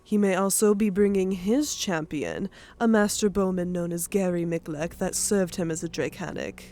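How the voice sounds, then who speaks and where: A woman's voice: on the low side, moderate pace, warm tone, natural voice. Woman playing a proud, blunt warrior goddess. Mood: grave briefing. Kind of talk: audiobook character acting.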